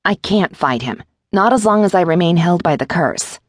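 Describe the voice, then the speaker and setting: A woman's voice: lowish voice, expressive, normal speed, dry recording, clean studio sound. A woman narrator voicing a defiant, sardonic enslaved woman in a fantasy audiobook, with wry, blunt delivery.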